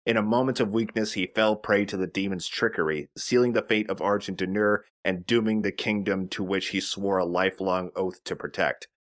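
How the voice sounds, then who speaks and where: A man's voice: low-pitched, fairly even intonation, moderate pace, hardly any pauses. A man giving casual, chatty commentary as he explains a video game. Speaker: let's play host.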